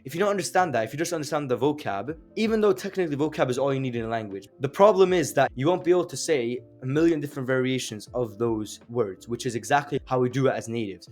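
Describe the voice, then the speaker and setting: A man's voice: medium pitch, expressive, quick pace, hardly any pauses, darkish tone, soft background music. A young man whose first language is Azeri, explaining language learning in a casual, chatty way with fillers and rhetorical questions. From Azerbaijan. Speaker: language teacher. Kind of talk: casual explanatory video lesson.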